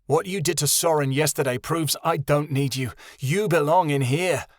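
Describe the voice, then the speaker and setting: A man's voice: a little high, moderate pace, dry recording, studio quality, voice pushed up, close to an everyday voice. A man voicing a brooding young man who turns away firmly.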